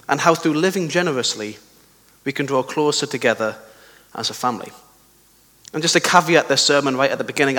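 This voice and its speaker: A young man with a sore throat and a slightly croaky voice, giving a conversational sermon with personal stories and questions to his listeners. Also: on the high side, fairly bright tone. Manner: conversational, self-deprecating, asks the listeners questions. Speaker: preacher. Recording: long hall reverb.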